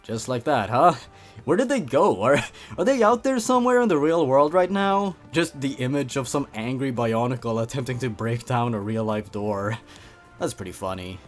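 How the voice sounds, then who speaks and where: A man's voice: mid-range voice, expressive, moderate pace, soft background music. A man reads a story and comments on it like a scripted reviewer, in a playful, theatrical, sarcastic way. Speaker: host reading and reviewing a horror story.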